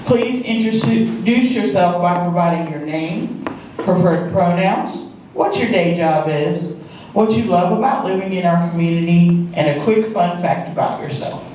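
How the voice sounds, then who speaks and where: A woman moderating a local candidate forum, laying out the rules and format in a clear, instructive way. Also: fairly low pitch, measured pace, mellow. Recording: echoey room, distant mic, faint noise.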